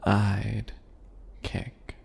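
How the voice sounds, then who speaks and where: A man playing a small, smug, obsessive yandere in an ASMR roleplay, slow and mock-sweet, with a breathy, gravelly voice that drops into whispers. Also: fairly low pitch, completely flat delivery, darkish tone, heavily acted. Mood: taunting.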